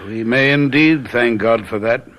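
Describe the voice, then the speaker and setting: An actor playing a calm, self-assured gentleman detective in a radio mystery drama, speaking in a measured, soothing way. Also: mid-range voice, lightly acted. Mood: knowing.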